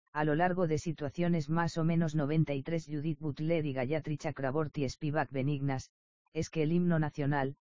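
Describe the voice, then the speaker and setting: A man reading an academic nonfiction text aloud in an even, steady manner, even voicing page numbers and footnote marks. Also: fairly high pitch, dry recording. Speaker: reader of an academic book. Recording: dull, lo-fi dry recording.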